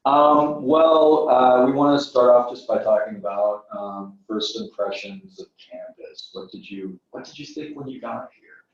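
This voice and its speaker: A man's voice: medium pitch, unhurried, hardly any pauses. A man moderating a student panel, asking questions in an upbeat, chatty way. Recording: echoey room, far from mic, dull sound.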